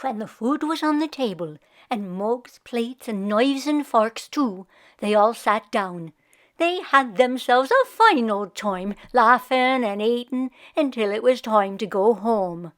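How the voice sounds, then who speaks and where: A woman reading a children's picture book aloud as an expressive storyteller. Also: fairly high pitch, takes it slowly. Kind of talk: expressive scripted read-aloud narration for children.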